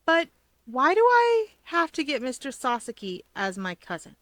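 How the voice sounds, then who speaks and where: A woman's voice: high voice, wildly swooping pitch, moderate pace, a bit bright, no echo, voice pushed up, heavily acted. Woman voicing a cheerful, easygoing schoolboy. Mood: bright, eager. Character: cheerful, easygoing schoolboy humoring his friend. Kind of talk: audiobook character voice.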